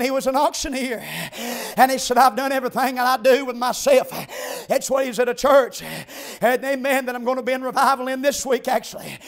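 A preacher with a very high voice delivers a revival sermon in a church. He shouts in a rhythmic, chanting cadence, punctuating nearly every phrase with "amen" and a drawn-out "ah.".

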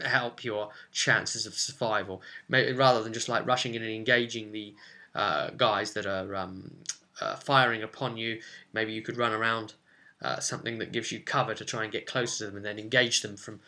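A man's voice: fairly low pitch, pushed and bright. A man in his twenties hosting an informal gaming explainer at home, talking in a rambling, conversational way with frequent tag questions. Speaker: tabletop gaming channel host. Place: small furnished room at home, indoors.

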